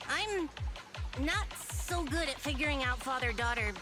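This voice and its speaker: A woman's voice: sits high, expressive, unhurried. An actress playing a feisty, cocky but caring young woman in a video game, brash and self-assured. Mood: awkward, thoughtful. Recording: loud game music behind the voice.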